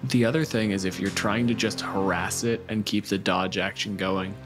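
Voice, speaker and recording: A man's voice: lowish voice, steady tone, moderate pace, over music. A man acting as game master, casually explaining rules and narrating the action of a tabletop game.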